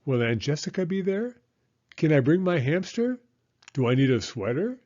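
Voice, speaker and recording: A man voicing an excitable, curious little girl in a storybook reading. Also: fairly high pitch, normal speed, muffled recording, pitched above the performer's own voice. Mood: eager, curious.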